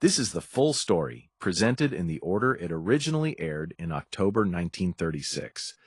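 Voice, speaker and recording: A man's voice: low-pitched, big pitch swings, brisk, slightly rough, no echo, studio quality. A man reading a scripted introduction as host of an old-time radio program. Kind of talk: scripted narration.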